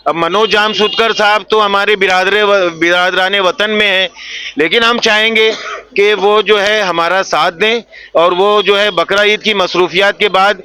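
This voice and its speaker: Clear, bright high-voiced man. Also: steady tone, a little slow. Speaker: community interviewee. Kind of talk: measured interview commentary.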